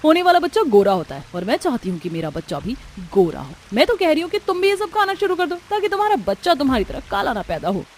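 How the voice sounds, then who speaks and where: A woman's voice: sits high, very animated, quick pace, hardly any pauses, darkish tone, slight background noise. A woman voicing a haughty, spiteful young woman in a cartoon story, with a sneering, scolding manner. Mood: smug. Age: young adult. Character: haughty, spiteful fair-skinned young sister. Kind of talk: Hindi cartoon story acting.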